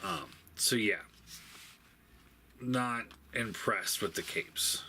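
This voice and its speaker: A man giving a casual, unscripted product review, thinking aloud in a halting way and stating blunt opinions. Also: lowish voice, bright, forceful tone. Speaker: toy reviewer. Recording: faint background noise.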